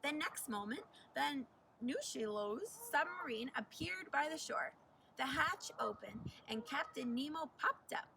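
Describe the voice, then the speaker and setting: A woman reading a children's story aloud like a teacher, deliberate and animated, recorded at a distance with faint noise. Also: sits high, gravelly. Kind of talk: expressive children's storybook narration.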